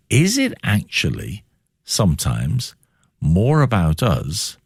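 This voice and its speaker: A man's voice: medium pitch, no echo, clean studio sound. A man with a British accent hosting a conversational radio interview, reflective and given to posing questions. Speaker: radio show host.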